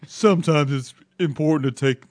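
A man joining a casual podcast chat with deadpan lines. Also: a little high, moderate pace. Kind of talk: casual banter.

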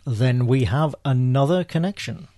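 An actor playing an unflappable, dryly witty male spy in a radio theatre drama, with deadpan, punning delivery. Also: medium pitch, moderate pace, no echo, lightly acted. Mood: brisk, thoughtful. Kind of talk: scripted radio theatre acting.

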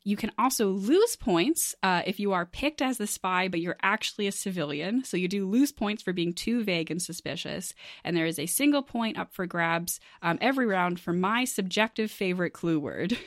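A woman's voice: medium pitch, animated, normal speed, few pauses, no echo, clean studio sound. A woman hosting a casual panel game, chatty and jokey, often laughing as she explains and runs things. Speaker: game show host.

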